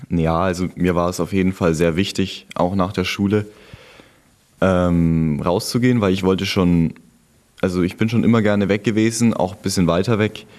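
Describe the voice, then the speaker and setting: A man's voice: deep voice, a little mellow. A young man from Germany chatting casually as an interview guest, telling of his own experiences. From Germany, born in Frankfurt and living in Franconia. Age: young adult. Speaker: interview guest, returned volunteer abroad. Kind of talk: casual conversational storytelling.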